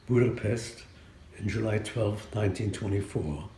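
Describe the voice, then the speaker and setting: A man in his nineties from Hungary, slowly and thoughtfully recalling his childhood in a seated interview. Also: fairly low pitch, dark tone, reverberant, a little hiss or hum. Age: 90s. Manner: slow, reflective recollection. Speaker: Holocaust survivor telling his story. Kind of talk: recorded life-story interview. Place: home room with a framed poster. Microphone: seated, framed from chest up.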